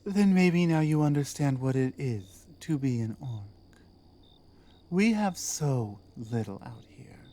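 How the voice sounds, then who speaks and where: A woman playing a proud, battle-hardened orc queen in a scripted ASMR roleplay, speaking deliberately with commanding, pointed rhetorical phrasing. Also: extremely low pitch, warm tone, a little hiss or hum, exaggerated voice.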